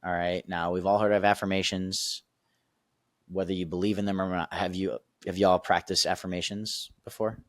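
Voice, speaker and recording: A man's voice: low-pitched, level tone, normal speed, no echo, studio quality. A man, a sales and performance coach on a podcast, explaining steps with energy and often checking in with "right?" and "okay?". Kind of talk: casual teaching explanation.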